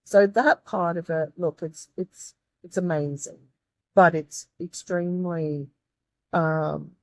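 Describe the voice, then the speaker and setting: A woman from Australia with a croaky, tired voice, a guest speaking earnestly in an interview with frequent restarts. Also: on the low side, fairly even intonation, slow pace, no room sound. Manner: earnest, grateful, with many restarts. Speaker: guest, medium and tutor. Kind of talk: reflective interview talk.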